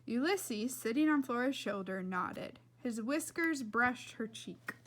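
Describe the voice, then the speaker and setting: A woman reading a children's novel aloud as an expressive storyteller. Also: on the high side, takes it slowly, slight background noise. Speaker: reader.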